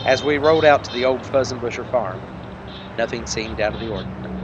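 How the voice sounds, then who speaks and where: A man narrating as a terse, deadpan detective in a parody of an old police drama. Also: medium pitch, a little fast, highs cut off, background noise.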